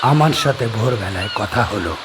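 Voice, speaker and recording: A man voicing a lonely, secretive middle-aged farmer on an island, speaking low, soft and measured. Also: animated, background noise, lightly acted. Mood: coaxing.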